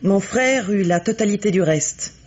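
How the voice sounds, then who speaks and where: An actress playing a cynical, haughty bourgeois woman in a French radio mystery, speaking in a measured, articulate, coolly disdainful way. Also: mid-range voice, animated, a little mellow, close to an everyday voice. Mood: bitter, disdainful. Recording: dull vintage radio recording.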